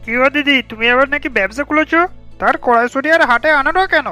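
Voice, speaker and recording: A scheming village trader from a cartoon, with a very bright, piercing edge and a high pitch. He is sly and nosy, full of jealous insinuation. Faint music plays underneath. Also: brisk, full character voice. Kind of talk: cartoon character acting.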